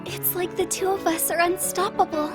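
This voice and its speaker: A woman voicing a sweet, gentle, youthful androgynous boy in love in a video game cutscene. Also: very high-pitched, steady tone, moderate pace. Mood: warm, happy. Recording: music behind the voice.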